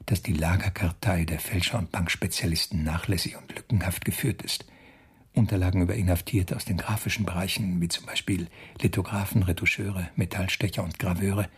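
Husky, calculating Nazi officer. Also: male voice, sits low. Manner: precise, bureaucratic, self-satisfied. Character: ambitious, calculating male Nazi SS officer reporting coolly. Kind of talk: German radio drama monologue.

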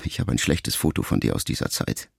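A man in a radio production reading a letter aloud as literary narration, quiet and reflective, with phrase endings that trail off softly. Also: very deep voice, very animated, brisk, gravelly, breathy edge, no room sound, clean studio sound.

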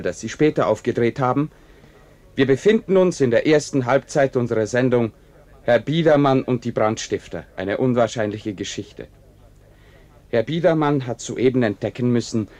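An actor playing a politely sceptical radio presenter in a radio play, measured, probing and dryly ironic. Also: male voice, mid-range voice, a little mellow, lightly acted. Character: cool, politely sceptical male radio host. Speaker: radio announcer and interviewer.

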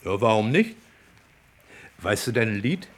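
Animated, coaxing man. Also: on the low side, a little slow. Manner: casual, coaxing. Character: coaxing, easygoing male companion, gently teasing. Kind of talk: German radio play dialogue.

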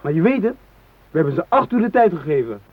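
Embittered hostage-taker, contemptuous threats, raspy higher voice, radio play, tinny narrow-band recording. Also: male voice, sing-song, fast. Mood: menacing, bitter. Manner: menacing, contemptuous. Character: threatening, embittered male train hijacker with hostages. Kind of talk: radio drama acting. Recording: muffled phone-like old radio recording.

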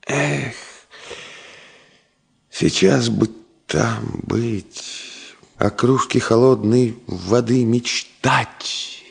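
A man's voice: medium pitch, very slow, gravelly, pauses often, slightly rough, exaggerated voice. A man playing a middle-aged engineer father in a radio drama, tender and wry, speaking in short, wistful, joking phrases. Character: ailing engineer father, tender, wry, hiding his worry. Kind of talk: Soviet radio drama acting.

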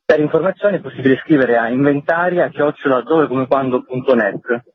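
A man's voice: medium pitch, fairly quick, husky. A man giving explanatory answers as an interview guest, a festival's artistic director. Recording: tinny phone-like line, roomy echo.

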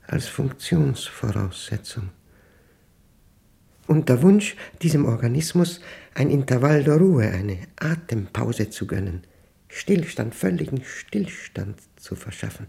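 An actor playing an aging, introspective, solitary man in a radio play monologue, speaking in halting, deliberate phrases with a husky voice. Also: medium pitch, dark tone, full character voice. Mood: troubled, intense. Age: older. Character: aging, solitary man brooding over a humming machine.

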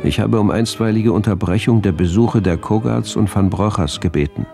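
Cold old-time doctor dictating diary in a radio drama, deep creaky voice, faint violin behind. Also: male voice, moderate pace, mellow. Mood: clinical, self-assured. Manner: clipped, clinical, formal. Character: cold, obsessive, methodical male doctor of a past era. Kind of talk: German radio play, diary narration. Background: faint music with violin.

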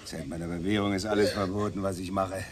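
An actor playing a brutal, egocentric nightclub villain in a radio crime drama, menacing and swinging between muttering and roaring. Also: male voice, sits low, fairly even intonation, fast talker, natural voice. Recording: noisy, overheard through distant microphones.

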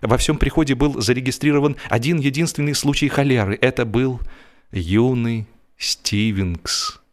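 A man narrating comic literature as an audiobook performer, in an expressive, mock-serious way, drawing out the ends of his phrases. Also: medium pitch. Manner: expressive, wry mock-serious delivery with drawn-out phrase endings.

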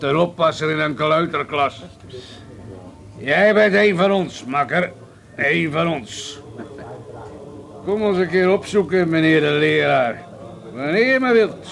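An actor playing a crude, boozy, domineering older man in a Dutch radio play, gruff and mocking, given to swearing bluster. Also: fairly high pitch, animated, slow, a bit bright, exaggerated voice. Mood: sneering bluster, then loud, jovial mockery.

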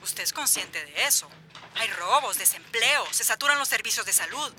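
A woman's voice: medium pitch, expressive, normal speed, bright, forceful tone, a little hiss or hum. A woman acting an indignant, argumentative small-town official in a radio drama. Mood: exasperated. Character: Narcisa, exasperated small-town official hostile to migrant disorder.

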